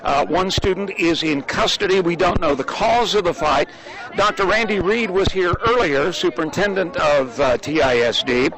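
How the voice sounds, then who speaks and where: An American man giving a live breaking news report from the field, in halting, improvised phrasing. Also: high-pitched, low-quality recording. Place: outdoors in a crowd. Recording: crowd noise at live location. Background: crowd of people.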